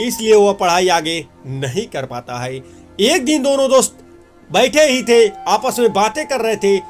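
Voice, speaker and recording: A man narrating a scripted moral story for a cartoon, as a storyteller. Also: sits high.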